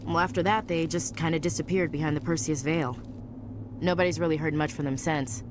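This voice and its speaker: An actress playing an earnest, dutiful young gunnery chief in a video game. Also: female voice, low-pitched, fairly even intonation, a little fast, a little hiss or hum, natural voice. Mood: sober, informative.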